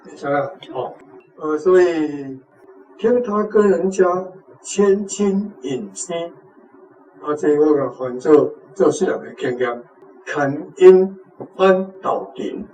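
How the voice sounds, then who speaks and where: A man with a Taiwanese accent explaining points as a language teacher, informally and with some halting. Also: a little high, mellow, muffled recording. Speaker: teacher giving on-site guidance. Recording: clear background noise, room ambience.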